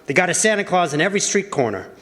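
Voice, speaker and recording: A man's voice: high voice, big pitch swings, very fast, fairly bright tone. A man playing a shrewd, wisecracking political adviser, persuasive and emphatic, in an old-fashioned radio drama. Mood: cajoling, sardonic. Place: live performance on a college campus. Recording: reverberant hall stage recording.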